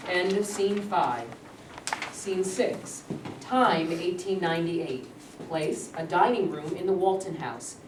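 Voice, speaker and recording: A woman's voice: lowish voice, takes it slowly. A woman reading out stage directions as scripted narration at a staged play reading. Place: live staged reading in a room. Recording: echoey distant mic, clear noise.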